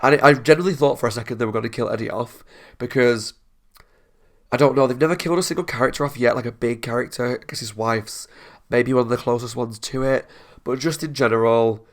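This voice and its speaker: A man's voice: mid-range voice, fast. A man hosting a TV reaction channel, congested with a cold, chatting in a rambling, casual way and laughing often.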